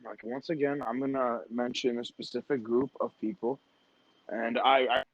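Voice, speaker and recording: Blunt, swearing young podcast host. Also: male voice, medium pitch, steady tone, normal speed, dry recording. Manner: blunt, profane, rambling. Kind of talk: casual podcast chat. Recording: dull dry lo-fi sound.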